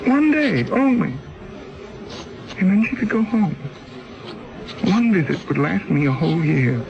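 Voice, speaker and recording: An actor playing a desperate, pleading exiled convict in his twenties, speaking haltingly in an old-time radio drama. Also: sits high, sing-song, gravelly, full character voice. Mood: anguished pleading. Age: young adult. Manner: agitated, halting with repeated words. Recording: dull, noisy old radio recording.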